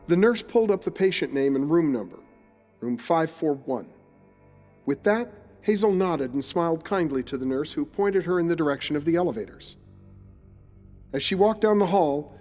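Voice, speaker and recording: A man playing the narrator of an audio drama, telling the story in a literary, deliberate, descriptive way. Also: a little high, darkish tone, natural voice. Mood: measured, descriptive. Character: storytelling male narrator, confidant of the detective. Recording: long reverb, music under the voice.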